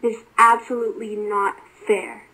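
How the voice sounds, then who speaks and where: Woman acting haughty envious god, high-voiced. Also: level tone, moderate pace, pushed and bright, poor audio. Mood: bitter indignation. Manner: indignant, formally worded. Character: haughty, envious male god, bitterly resentful. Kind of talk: amateur mythology cartoon dialogue.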